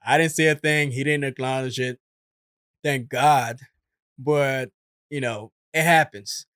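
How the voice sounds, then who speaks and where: A man hosts with an informal, conversational way of explaining. His voice sits at a middle pitch. The sound is dry and close, with very little room around it. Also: fairly even intonation, measured pace, fairly bright tone, clear, resonant tone, no echo. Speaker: host, former military air traffic controller. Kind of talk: casual explanatory commentary.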